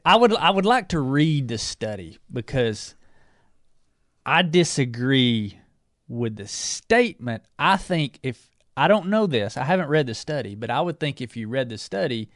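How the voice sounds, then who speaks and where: A man in his forties co-hosting a casual talk show, joking and easygoing, quick to laugh. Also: medium pitch, big pitch swings, a bit bright, no echo, studio quality. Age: 40s. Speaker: co-host. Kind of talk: casual chat.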